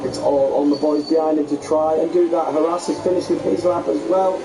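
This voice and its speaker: Hoarse man calling a race. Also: on the high side, fairly quick, noisy background. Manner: lively, chatty play-by-play. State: losing his voice. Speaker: lead commentator. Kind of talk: sim racing commentary.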